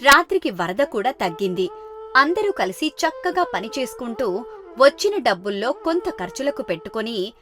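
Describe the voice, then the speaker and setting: A woman's voice: on the high side, big pitch swings, moderate pace. A woman storyteller reading a scripted children's moral story.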